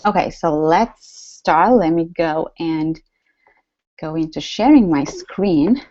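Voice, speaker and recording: A woman's voice: deep voice, expressive, slow pace, a little mellow, no echo, muffled recording. A woman with a Romanian accent hosting a live online workshop, chatty and full of fillers and restarts. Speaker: workshop host. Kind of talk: live webinar teaching.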